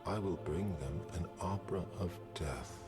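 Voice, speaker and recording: A man voicing a grandiose, theatrical serial killer who speaks slowly and poetically in a deep, whispery voice, as video game voice lines. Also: close to an everyday voice. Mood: menacing, grandiose. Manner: slow, poetic, dramatic delivery. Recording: music playing behind the voice.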